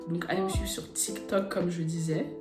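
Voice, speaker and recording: A young woman from France telling personal stories in a casual, animated, slangy chat as a video blogger. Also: on the low side, normal speed. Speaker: YouTube vlogger. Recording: roomy echo, music bed behind. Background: background beat music.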